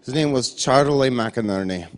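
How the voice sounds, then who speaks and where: A man's voice: mid-range voice, normal speed, lightly acted. A man playing a boastful old Scottish golf legend, telling a rambling comic tale in a Scottish accent. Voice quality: rising, excitable ranting. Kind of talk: comedy sketch storytelling.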